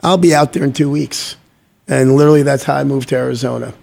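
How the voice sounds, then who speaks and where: An upbeat man talking as a guest in a relaxed interview. He is originally from Guyana and drops motivational sayings as he chats, in a medium-pitched voice. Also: fast, vocal fry. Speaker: guest entrepreneur. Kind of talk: casual interview chat.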